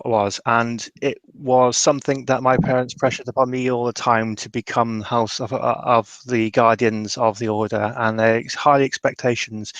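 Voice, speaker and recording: A tormented mage played by a man in a tabletop roleplay, bitter and defensive. The voice is mid-pitched and dryly recorded, with halting, emotional phrases that often trail off into regret. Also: a bit bright, clean tone, dry recording, lightly acted. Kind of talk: improvised tabletop roleplay monologue.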